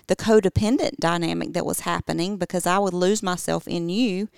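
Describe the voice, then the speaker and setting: A middle-aged woman co-hosting a podcast with her husband, soft-spoken and affirming. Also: on the low side, steady tone, fairly quick, vocal fry, smooth, no room sound, clean studio sound. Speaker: podcast co-host. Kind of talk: casual conversation with spouse.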